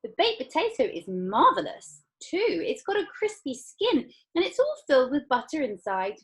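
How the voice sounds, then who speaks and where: A woman's voice: sits high, wildly swooping pitch, normal speed, voice pushed up. A woman voicing a boastful, greedy young girl in a storybook read-aloud, bratty and showy. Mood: gloating.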